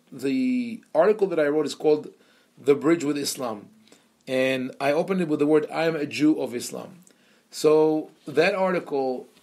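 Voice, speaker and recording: Brief: man from Israel, rabbi teaching a seminar, mid-pitched, stop-start delivery full of fillers. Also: a little mellow. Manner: hesitant, many fillers and restarts. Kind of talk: lecture.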